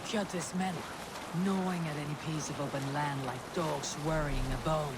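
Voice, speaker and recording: An actor playing a proud, stubborn Viking warrior in a video game, terse and defiant with archaic phrasing. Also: male voice, a little high, steady tone, normal speed, heavy background noise, lightly acted. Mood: defiant, dry. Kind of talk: video game cutscene acting.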